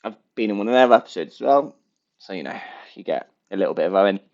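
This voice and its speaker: A man's voice: fairly low pitch, animated, fast talker, a little mellow, highs cut off. A man hosting his own podcast alone, rambling and correcting himself in a goofy, playful way, with a blocked, sniffly nose. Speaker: podcast host. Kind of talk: casual solo podcast rambling.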